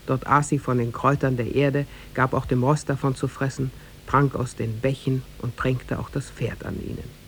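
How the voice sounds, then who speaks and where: A man narrating a tale in a formal, measured 1950s radio-drama style with literary diction. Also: medium pitch, little pitch movement, a little hiss or hum.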